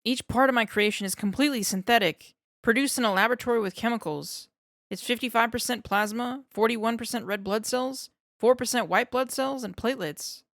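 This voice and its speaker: A jittery male scientist who babbles and over-explains in a raised, bright, quick voice, as a woman reads him out. He pushes higher and louder as he rambles, eager to please, recorded close and dry. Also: dry recording. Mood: anxious pleading.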